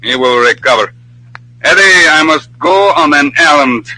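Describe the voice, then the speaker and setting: Formal, sharp-voiced radio crime boss. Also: male voice, a little high, animated, exaggerated voice, low-quality recording. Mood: coolly commanding. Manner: formal, precise, measured. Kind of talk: old-time radio drama acting.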